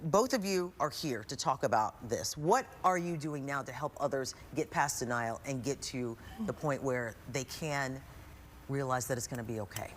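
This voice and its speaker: A woman's voice: a bass voice, expressive, moderate pace, slight background noise. A woman hosting a conversational TV interview, affirming her guests and often echoing their words. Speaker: TV talk show host. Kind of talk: live daytime interview, conversational.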